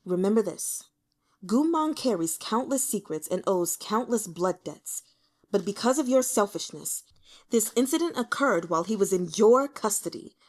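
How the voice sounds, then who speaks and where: A woman's voice: mid-range voice, animated, moderate pace, natural voice. A woman voicing an aloof, hostile male general in an audiobook, speaking in a clipped, frosty, formal way. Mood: cold anger.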